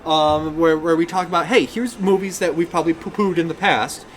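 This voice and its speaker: Enthusiastic higher-voiced man, noisy recording. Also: steady tone, a little fast. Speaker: podcast co-host. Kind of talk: casual pop-culture discussion.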